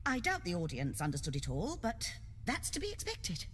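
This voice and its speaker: An actress playing a poised, businesslike theatre troupe manager in a video game, measured and appraising. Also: female voice, medium pitch, huge pitch swings, husky. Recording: reverberant, faint background ambience.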